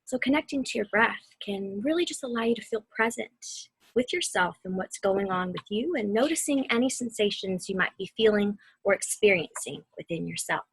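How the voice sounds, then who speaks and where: A soft, breathy-voiced woman speaking gently and without hurry, as a mindfulness guide reading and instructing for children. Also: mid-range voice, animated, no echo, low-quality recording. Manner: soothing, unhurried, softly spoken. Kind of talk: gentle guided meditation and read-aloud.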